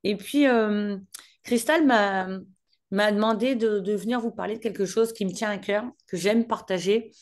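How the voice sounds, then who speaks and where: A woman's voice: medium pitch, moderate pace. Chatty woman in her forties from Picardy. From northern France, Picardy. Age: 40s. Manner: friendly and chatty, addresses listeners directly. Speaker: invited guest speaker, business mentor. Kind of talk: casual warm talk to an online group.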